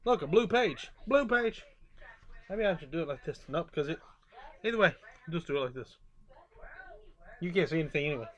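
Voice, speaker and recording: A storyteller giving an expressive children's book read-aloud, with casual asides. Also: mid-range voice, brisk, long pauses, muffled recording.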